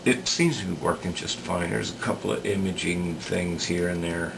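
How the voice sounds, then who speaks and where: A man giving unscripted workbench commentary as a repair hobbyist, hesitant and halting as he thinks aloud. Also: low voice. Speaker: radio repair hobbyist demonstrating work. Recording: clear background noise.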